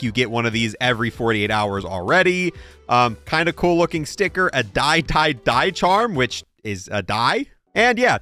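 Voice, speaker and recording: A man's voice: fairly high pitch, sharp tone. A man giving casual, chatty, opinionated video game commentary, repeating himself for emphasis. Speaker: gaming YouTuber reviewing game content.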